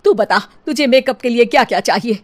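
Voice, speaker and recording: A woman's voice: a little high, fairly quick, lightly acted. A woman voicing a doting, boastful middle-aged mother-in-law in a comic story, chatty and coaxing. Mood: urgent, coaxing.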